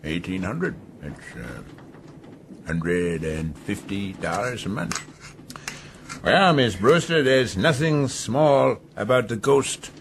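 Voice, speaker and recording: An actor playing a domineering, mocking sea captain in a radio drama, sardonic and probing, with deliberate hums. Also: male voice, mid-range voice, fairly bright tone, a little hiss or hum. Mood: sly, businesslike.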